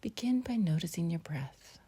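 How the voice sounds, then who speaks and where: A woman leading a scripted guided meditation in a gentle, breathy near-whisper, speaking in short phrases with long pauses. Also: lowish voice, expressive.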